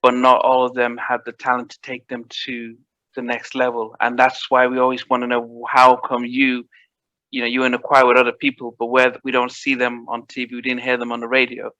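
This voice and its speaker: A man's voice: medium pitch, completely flat delivery, brisk, pushed and bright, no echo. A man hosting an interview, encouraging his guest with frequent short affirmations. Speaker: podcast host. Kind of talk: casual interview. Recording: dry, dull lo-fi mic.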